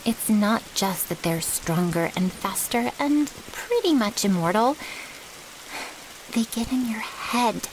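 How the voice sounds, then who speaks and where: A woman's voice: fairly high pitch, expressive, slow, background noise. A woman playing a brash, loyal young vampire's servant in an ASMR audio roleplay, with a cocky, blunt, casual way of talking. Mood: glum, confiding.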